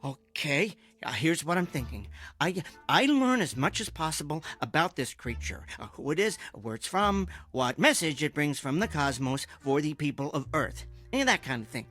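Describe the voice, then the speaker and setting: An eccentric, eager American husband and amateur inventor in a radio-style drama. His voice rises and falls dramatically as he earnestly explains himself, over soft music. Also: on the high side, very animated, moderate pace, few pauses. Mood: eager, scheming. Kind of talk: audio drama acting.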